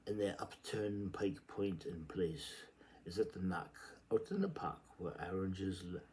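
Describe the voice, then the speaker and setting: A man giving a theatrical, halting reading of literary prose, repeating words and shifting between murmurs and sudden emphasis. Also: low-pitched, a little mellow, slight background noise. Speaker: reader. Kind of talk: dramatic amateur literary reading aloud.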